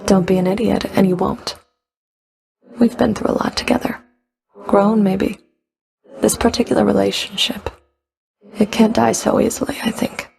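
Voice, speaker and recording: A stern underworld Fury from a video game, her voice heavy with vocal fry at an ordinary female pitch. She is curt, dry and sardonic, giving orders with guarded, grudging authority. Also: a little mellow.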